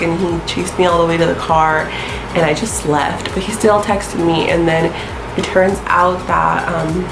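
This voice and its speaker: A woman's voice: lowish voice, roomy sound, low-quality recording. Stuffy-nosed young woman, chatty and rambling. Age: 20s. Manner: chatty, rambling, many ums and likes. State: stuffy nose from a sinus infection. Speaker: astrology vlogger. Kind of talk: casual storytelling to camera.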